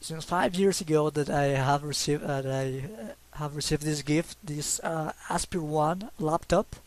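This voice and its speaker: A man's voice: a little high, measured pace. A young man from Mexico, speaking English with a Mexican Spanish accent, talks casually and hesitantly about his drawings, with many fillers and restarts. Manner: self-conscious, laughs a little. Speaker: hobby artist.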